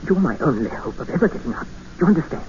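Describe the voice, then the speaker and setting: An actor playing an educated English captive, narrating and speaking in an earnest, formal, dramatic 1950s radio style. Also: male voice, a little high, very animated, fast talker, darkish tone, a little hiss or hum. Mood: urgent pleading. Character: desperate, educated male English captive expedition member, narrating. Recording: muffled old radio recording with music.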